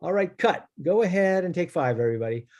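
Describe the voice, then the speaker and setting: A man's voice: sits high, animated, fast, darkish tone. A man playing a laid-back reggae-loving cannabis grower with a put-on in a play table read. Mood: upbeat, bossy. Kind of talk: table read of a stage play, half-chanted rap. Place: remote video call.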